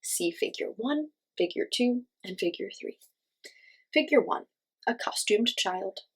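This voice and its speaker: A woman's voice: mid-range voice, lively intonation, a little slow, slightly rough, rough recording. A woman hosting a children's read-aloud, reading a picture book expressively and speaking directly to young listeners with simple questions and explanations.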